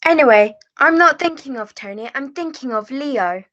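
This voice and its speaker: A woman's voice: high voice, expressive, moderate pace, a bit bright. A young woman voicing an insistent, matter-of-fact puppet in an amateur play over a video call. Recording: muffled video call audio.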